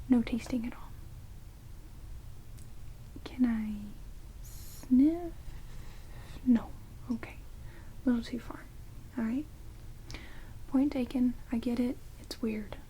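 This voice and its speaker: A woman playing a sardonic, playful vampire caretaker in a soft, breathy ASMR roleplay whisper. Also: a little high, rough recording. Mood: coaxing, playful. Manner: teasing, dry, casually chatty. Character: sardonic immortal female vampire, rude yet playful caretaker. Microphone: close binaural microphones. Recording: steady rain noise on binaural recording. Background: heavy rain.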